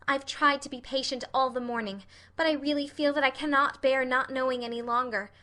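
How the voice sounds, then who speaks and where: A woman's voice: high-pitched, expressive, normal speed, bright, forceful tone, voice pushed up. A woman voicing an earnest, dreamy young orphan girl in an audiobook, effusive and imploring. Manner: effusive, wordy, dramatic. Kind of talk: audiobook character voice.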